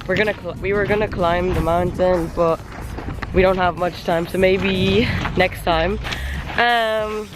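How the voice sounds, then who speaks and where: A hoarse young woman chatting casually in a vlog, rambling with lots of fillers, filmed outdoors on a handheld camera. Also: fairly low pitch, fairly even intonation, measured pace. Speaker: vlogger. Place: outdoors on a sunny day. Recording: background music playing under voice.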